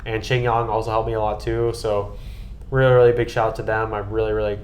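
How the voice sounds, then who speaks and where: A man's voice: lowish voice, level tone, a little fast, darkish tone, slight background noise. An American man chatting casually and earnestly on a podcast, with an enthusiastic manner. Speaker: podcast guest and co-host recapping a trip.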